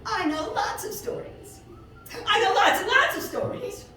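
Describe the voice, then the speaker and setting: A woman's voice: very high-pitched, lively intonation, measured pace, bright, forceful tone, a little hiss or hum, voice pushed up, full character voice. A woman voicing a boastful, giggly male hare who dodges questions. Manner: giggling, bragging. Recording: echoey room, mic far off.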